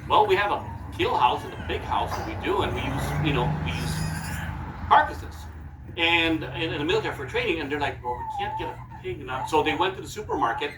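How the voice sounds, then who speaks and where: A man raised in the Philippines with a Filipino accent, telling stories to a live audience at a fan Q&A, animated and laughing as he acts out quoted lines. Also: fairly high pitch, brisk. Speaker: TV show judge at fan Q&A. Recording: echoey, distant, with background noise.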